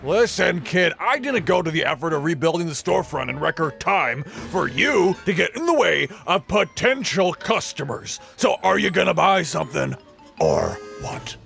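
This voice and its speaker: A man's voice: fairly high pitch, sing-song, normal speed, creaky, fairly bright tone, soft background music, exaggerated voice. A man voicing an irritable, paranoid animal-person fruit vendor in an anime parody, blustering with indignation. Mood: irritated.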